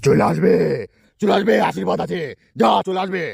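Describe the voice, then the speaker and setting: A greedy, lustful fake holy man from a cartoon, with a deeply gravelly, husky voice. He speaks in a knowing, commanding way, and the sound is dry and close. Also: fairly even intonation, fairly quick, a little mellow, dry recording. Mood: assuring. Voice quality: raspy, gravelly. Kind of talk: adult cartoon comedy acting.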